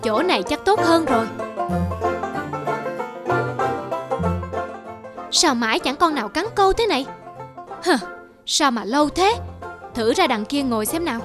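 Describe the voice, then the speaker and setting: A woman's voice: high voice, animated, a little fast, over loud music. A woman voicing a lazy, easily distracted, grumbling peasant husband in a folktale cartoon. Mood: grumbling, eager.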